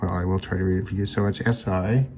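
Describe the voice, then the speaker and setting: A man hosting an electronics teardown video, talking casually and unscripted, haltingly thinking aloud as he examines parts. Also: low voice, fairly even intonation, darkish tone, slight background noise. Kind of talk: casual unscripted hands-on demonstration talk.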